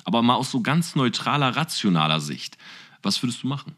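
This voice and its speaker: A man's voice: medium pitch, big pitch swings, brisk, fairly bright tone. A man interviewing a podcast host, asking long, probing questions in a talkative, casual way. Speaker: guest interviewer, entrepreneur.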